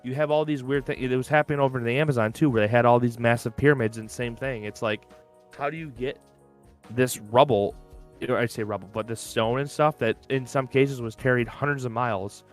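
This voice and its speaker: A man's voice: mid-range voice, fairly quick, faint music behind. A man co-hosting a casual podcast, rambling and filling his sentences with "like". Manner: rambling, heavy use of "like". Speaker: podcast co-host. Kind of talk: casual chat. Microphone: open-ear headphones and desk mic.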